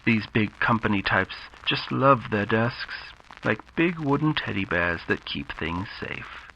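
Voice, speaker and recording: A man narrating a scripted horror story in the style of classic radio drama, with measured, dramatic delivery. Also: fairly low pitch, lively intonation, vocal fry, pushed and bright. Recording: muffled lo-fi audio with background noise.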